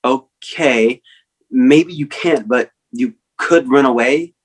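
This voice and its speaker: A man's voice: mid-range voice, slow pace, slightly rough. A man playing a blunt, casual, sardonic best friend in a comic staged reading. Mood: cajoling.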